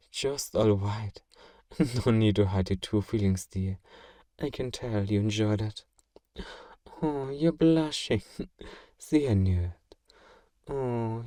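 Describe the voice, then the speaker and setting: A man playing a kind, possessive vampire master in an intimate ASMR roleplay, speaking in a gentle, genteel, coaxing and doting way. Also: on the low side, no room sound, studio quality. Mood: teasing, doting. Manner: soothing, coaxing, politely doting.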